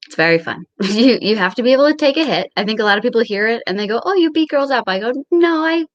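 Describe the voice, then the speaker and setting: A woman's voice: on the high side, expressive, quick pace, dry recording, lo-fi sound. A woman in her twenties chatting casually as a guest, giggly and self-deprecating. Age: 20s. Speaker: guest, comedian. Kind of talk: casual chat.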